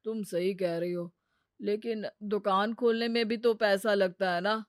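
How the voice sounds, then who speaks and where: A woman's voice: mid-range voice, steady tone, unhurried, dry recording, clean studio sound, pitched below the performer's own voice, natural voice. A woman voicing a wheedling, irritable gambler husband in a cartoon story, with a sarcastic, self-justifying manner. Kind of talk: cartoon story character acting.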